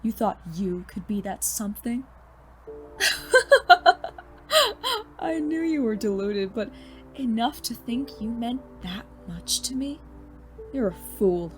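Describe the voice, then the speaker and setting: A woman's voice: on the high side, expressive, slow, long pauses. A woman playing a smug, bitter male chemistry teacher turned supervillain in a scripted audio drama, with a mocking, condescending, theatrical delivery. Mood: scornful. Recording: music behind the voice.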